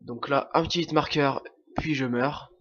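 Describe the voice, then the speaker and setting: A man's voice: mid-range voice, steady tone, moderate pace, a bit bright. A young man with a French accent talks through his gameplay while fighting a cold. He hesitates often, restarts his sentences and leans on fillers. The audio sounds dull and lo-fi, like a cheap home microphone. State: has a cold, sniffling. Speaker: gameplay commentator. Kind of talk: casual game commentary.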